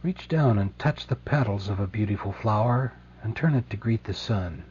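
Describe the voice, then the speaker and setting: Male meditation guide, dark relaxed tone, gentle and unhurried with deliberate pauses; slightly dull recording with some background hum. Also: fairly low pitch. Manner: slow, soothing, gentle delivery with deliberate pauses.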